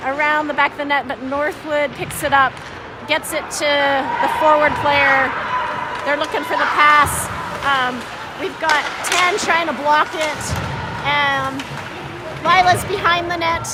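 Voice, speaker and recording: A woman giving informal, halting live hockey commentary as an unsure, self-deprecating amateur, with a slightly scratchy voice. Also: high-pitched, steady tone. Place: indoor ice hockey arena. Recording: music and crowd noise behind, arena. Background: music and crowd.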